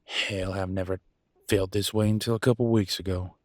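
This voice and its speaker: A man's voice: sits low, steady tone, moderate pace, no echo, clean studio sound, close to an everyday voice. A man playing a proud, wounded werewolf husband and country vet in a roleplay audio drama, with a drawl and a folksy, bitter, raw way of talking. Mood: hurt, accusing. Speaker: voice actor. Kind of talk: dramatic roleplay audio, one side of a couple's argument.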